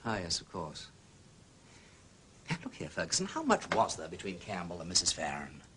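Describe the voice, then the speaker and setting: An actor playing a genial, shrewd, aristocratic English gentleman sleuth with an upper-class accent, urbane and probing, in a period detective drama. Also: sits low, wildly swooping pitch, moderate pace, a little hiss or hum, heavily acted. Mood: inquisitive. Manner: affable, urbane, probing with questions.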